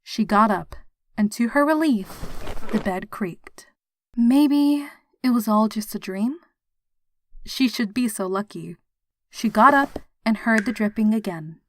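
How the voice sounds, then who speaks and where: A woman's voice: fairly high pitch, animated, unhurried, long pauses, a little hiss or hum. A woman reading a horror story aloud as a scripted, dramatic narrator. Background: background music.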